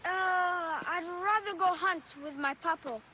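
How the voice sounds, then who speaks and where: A woman's voice: very high-pitched, unhurried, bright, forceful tone, slight background noise, full character voice. A woman voicing an eager young boy in a video game, a son looking up to his father. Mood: hesitant.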